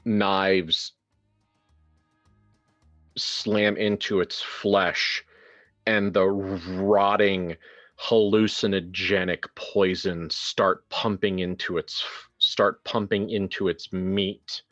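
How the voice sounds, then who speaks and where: A man with a very deep voice runs a horror tabletop game as game master. He takes his time, describing things deliberately and haltingly, then slipping into casual asides. The sound is slightly dull, with music underneath. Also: level tone, fairly bright tone.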